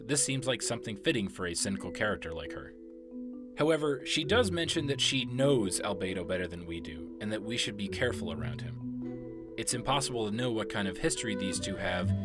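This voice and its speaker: A man delivering scripted explanatory narration as a video essayist on game lore. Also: on the low side. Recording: voice-over with prominent music. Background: music.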